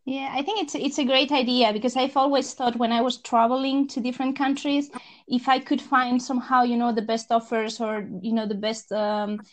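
Host: woman, higher-pitched voice, talkative questions with restarts. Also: level tone, normal speed. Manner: chatty, with slips and restarts. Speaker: interviewer, host. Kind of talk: interview questions.

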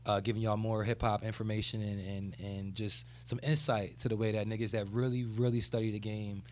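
A man's voice: on the low side, little pitch movement, fairly quick, darkish tone, slight background noise. A man hosting a casual podcast in a laid-back way, leaning on fillers as he talks. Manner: laid-back, repeats fillers like "you know what I'm saying". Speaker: podcast host, rapper. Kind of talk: casual chat.

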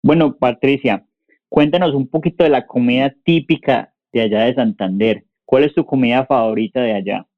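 A man's voice: mid-range voice, moderate pace, no room sound. A Colombian man hosting a podcast, chatting informally and asking friendly questions. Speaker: podcast host. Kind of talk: casual interview chat. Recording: dull, dry lo-fi sound.

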